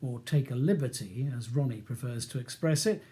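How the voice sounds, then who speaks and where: A man's voice: medium pitch, normal speed, dark tone. A man with a British accent reading scripted audiobook narration as the author of the novel.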